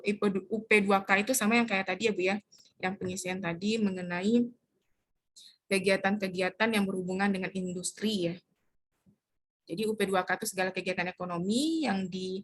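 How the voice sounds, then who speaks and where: A woman explaining step by step how to fill in a community household form, pausing often to search for words. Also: lowish voice, a bit bright. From Indonesia. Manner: hesitant, searches for words with many fillers. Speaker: community trainer.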